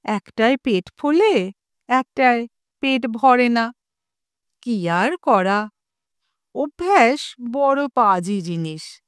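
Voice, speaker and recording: A woman narrating a scripted story in a measured, expressive way, as an audio storyteller. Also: a little high, clean tone, no room sound, studio quality.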